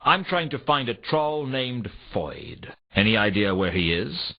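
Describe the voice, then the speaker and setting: An actor playing a world-weary, sardonic private detective in a noir video game, with a wry, deadpan, pressing delivery. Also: male voice, medium pitch, unhurried. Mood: urgent, insistent. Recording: dull, muffled old console game audio.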